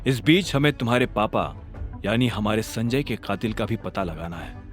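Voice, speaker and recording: A man voicing a tough, stubborn gang member who speaks gravely and with measure in an audio drama. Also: fairly low pitch, lively intonation, normal speed, over music, pitched below the performer's own voice, natural voice. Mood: grave warning.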